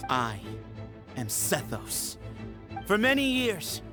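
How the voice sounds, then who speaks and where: A man's voice: high-pitched, wildly swooping pitch, slow, exaggerated voice. A man playing a confident, theatrical young male duelist in an anime video game. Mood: grand, declaiming. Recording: music clearly behind the voice.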